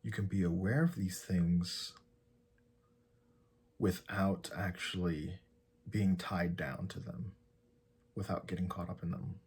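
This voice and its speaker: Man, meditation guide, deep voice, heavily breathy, calm gentle instruction, long pauses. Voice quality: soft, breathy, husky. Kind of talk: guided meditation, soft and slow.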